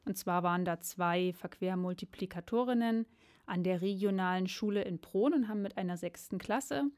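A woman's voice: medium pitch, moderate pace, a little mellow, smooth. A woman hosting a radio programme, introducing segments and putting questions to her guest. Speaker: radio show host. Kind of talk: radio moderation and interview.

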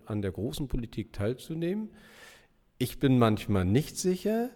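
Mellow male teacher in echoey hall. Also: medium pitch. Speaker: teacher being interviewed. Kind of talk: considered interview answer.

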